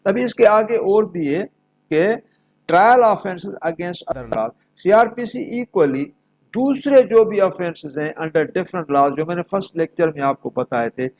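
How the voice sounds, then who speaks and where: A man's voice: high voice, rough recording. A man with a Pakistani accent lecturing on legal provisions in an emphatic, didactic manner. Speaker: law lecturer.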